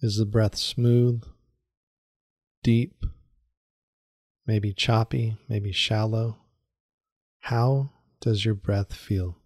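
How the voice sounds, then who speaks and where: A man leading a guided meditation in a soothing, unhurried manner with long pauses and repeated phrases. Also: lowish voice, steady tone, a little mellow, no room sound, clean studio sound. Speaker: meditation guide, yoga teacher. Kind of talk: guided meditation, calm instruction.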